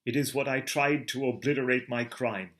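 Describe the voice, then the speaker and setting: A man's voice: medium pitch, normal speed, fairly bright tone, lightly acted. A man voicing a guilt-wracked murderer in a melodramatic literary reading, with wild theatrical outbursts. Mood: tormented.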